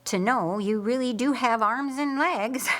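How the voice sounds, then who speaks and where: A woman narrator voicing a teasing, reassuring brother in a Bible story, with wry, measured delivery. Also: a little high, pitched above the performer's own voice, close to an everyday voice.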